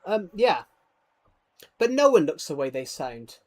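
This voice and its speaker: A man's voice: a little high, sing-song, a little slow, darkish tone. A man chatting casually on a gaming livestream, rambling and self-deprecating, often stammering and repeating his words. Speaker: live streamer. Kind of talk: casual livestream chat while gaming, reading out viewer comments.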